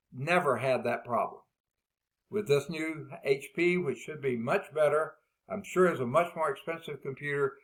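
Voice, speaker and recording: A man with a loud voice, leading a math department staff meeting over a video call. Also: mid-range voice, low-quality recording. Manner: loud voice, talkative and explaining. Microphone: laptop built-in mic on a video call.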